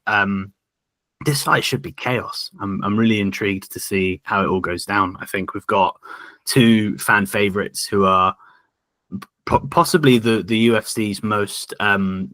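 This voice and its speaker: Deep, bright-voiced podcast host. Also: male voice, dry recording. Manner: rambling, thoughtful, leads the talk. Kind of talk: casual podcast chat.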